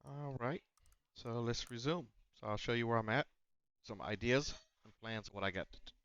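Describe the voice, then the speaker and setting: A man's voice: medium pitch, normal speed, fairly bright tone, smooth, no echo, dull audio. A man giving casual gameplay commentary on a headset mic, slightly nasal, rambling with fillers and restarts. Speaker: gaming channel host. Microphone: noise-cancelling headset.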